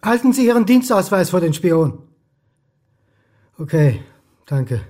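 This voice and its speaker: An actor playing an anxious, suspicious male historian in a radio crime drama, thinking aloud in halting mutters. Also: sits high, big pitch swings, darkish tone, no echo, clean studio sound. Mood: alarmed. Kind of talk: radio drama acting with inner monologue.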